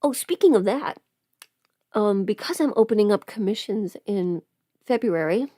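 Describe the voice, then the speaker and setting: A woman's voice: mid-range voice, expressive, normal speed, warm tone, no room sound, clean studio sound. A woman chatting casually on a livestream while under the weather, thinking aloud as she does her makeup. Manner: chatty, rambling, thinking aloud, sometimes playful. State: sick with a cold or illness. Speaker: livestream host and audio performer.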